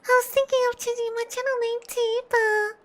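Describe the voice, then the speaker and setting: A woman's voice: very high voice, little pitch movement, fairly quick. A woman VTuber chatting casually on a livestream in a cutesy, gushing way, repeating her phrases. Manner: gushing, repetitive greetings, cutesy. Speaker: VTuber streamer.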